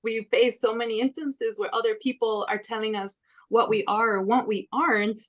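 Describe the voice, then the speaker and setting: A woman's voice: on the high side, fairly even intonation, normal speed. A woman from Illinois hosting a casual interview, affirming her guests and explaining at length. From Illinois, US Midwest. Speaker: host.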